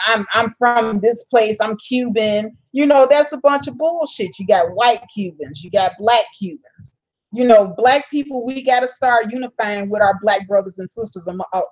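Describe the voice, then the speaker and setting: A woman's voice: mid-range voice, dull audio. A woman hosting a casual live stream. She reads viewer comments aloud, answers them, and laughs often. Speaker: channel host reading live chat.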